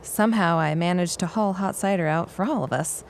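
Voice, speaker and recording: A dryly witty, sociable woman, played by an actress, speaks in a lowish voice. It is period drama dialogue with faint noise in the background. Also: a little fast, lightly acted. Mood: dry, chatty. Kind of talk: period audio drama acting.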